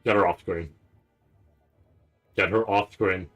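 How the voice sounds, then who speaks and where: A man doing casual livestream reaction commentary, rambling and stammering over repeated words with a nervous, excitable manner. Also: low-pitched, slow, lo-fi sound, soft background music. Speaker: streamer reacting to a live anime event.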